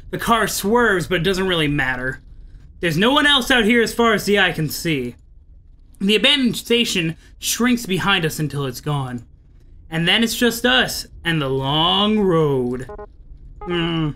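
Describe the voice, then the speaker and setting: A man's voice: high voice, animated, measured pace, pushed and bright. A man hosting a visual novel playthrough, reading narration aloud with chatty, amused, wry asides. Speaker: let's play host.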